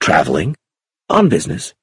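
A man's voice: sits low, huge pitch swings, moderate pace, fairly bright tone, husky, no echo, clean studio sound, voice pushed down, exaggerated voice. A man voicing a charming, courteous, flirtatious male suitor in an audiobook. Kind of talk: audiobook character voice.

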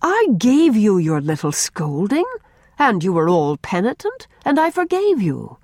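A woman voicing a gentle, distressed father, a minister, in a grave and tender storybook manner. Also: on the low side, lively intonation, brisk, breathy edge, dry recording, clean studio sound.

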